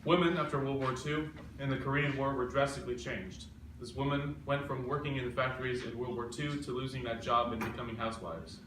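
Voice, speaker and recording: A young man reads a scripted report in a mid-pitched voice. He is back from the microphone in an echoey room, with noise clearly audible. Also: steady tone, a little fast. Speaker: news correspondent. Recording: echoey, noisy room, distant mic.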